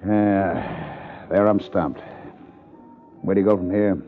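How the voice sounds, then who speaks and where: An actor playing a matter-of-fact, probing police lieutenant in a 1950s radio detective drama. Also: male voice, sits low, fairly even intonation, slow pace, faint music behind. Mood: puzzled, probing. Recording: muffled old 1950s radio recording.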